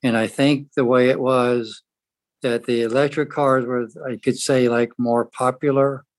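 A man talking casually in a book discussion group, in a halting, rambling way. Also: mid-range voice, little pitch movement, no room sound. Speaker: book club participant.

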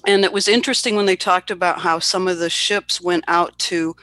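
Female book club member, bright and high, opinionated and casual, dry sound. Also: fairly even intonation, a little fast, no room sound.

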